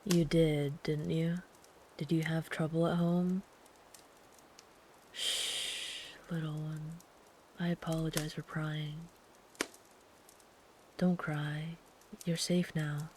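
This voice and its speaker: Woman voicing a gentle big camper, protective, soft-spoken, sometimes whispered, ASMR comfort roleplay, even flat delivery. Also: low-pitched, slow pace, pauses often, slight background noise, poor audio. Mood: soothing. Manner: soft, soothing, calm, at times whispered. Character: big, gentle, protective traveler camping in the woods.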